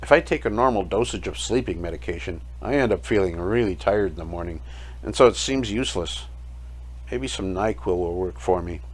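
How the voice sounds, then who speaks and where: A man playing a weary, sleepless night-shift guard, telling his story as a measured dramatic monologue in an audio play. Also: deep voice, expressive. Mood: weary, confiding. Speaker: narrator of a short audio play. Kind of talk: scripted first-person dramatic monologue.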